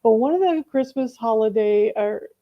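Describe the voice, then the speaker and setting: A woman's voice: a little high, animated, normal speed, highs cut off. A woman co-hosting a live online book event, chatting eagerly and asking her partner questions. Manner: enthusiastic, asks the co-host questions. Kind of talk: casual livestream chat.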